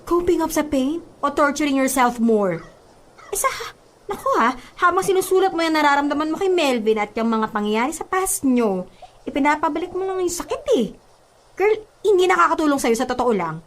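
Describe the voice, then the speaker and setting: A woman's voice: sits high, animated, a little fast. A woman with a Filipino accent playing a blunt, exasperated young best friend in a radio drama. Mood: exasperated, scolding. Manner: sassy, exasperated.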